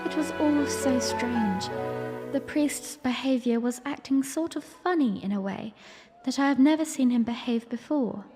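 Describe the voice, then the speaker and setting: A woman's voice: high-pitched, a little slow, long reverb tail, over music. A woman playing a young princess, narrating her memory in a dramatic first-person audio drama style. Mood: puzzled, storytelling.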